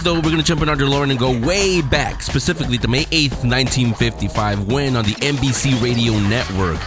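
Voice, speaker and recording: Low-voiced man hosting over music. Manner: conversational. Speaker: podcast host. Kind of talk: casual show introduction.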